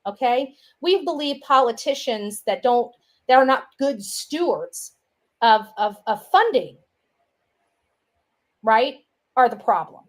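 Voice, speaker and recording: Higher-pitched woman, rambling and opinionated. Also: animated, takes it slowly, pauses often. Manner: rambling, repeats herself, says "you know" and "right" often. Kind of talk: casual opinionated monologue.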